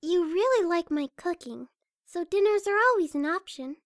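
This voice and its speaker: A tiny-voiced, very high, timid pony. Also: female voice, animated, moderate pace, dry recording, studio quality. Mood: shy. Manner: timid, hesitant, stammering. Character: shy, gentle young female pegasus pony. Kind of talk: amateur cartoon fan audio drama.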